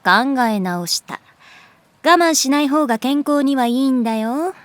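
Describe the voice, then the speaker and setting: A woman's voice: sits high, a little slow, no echo. A woman playing a wry, aloof but fond teacher in a Japanese audio drama, with a dry, teasing, languid way of talking.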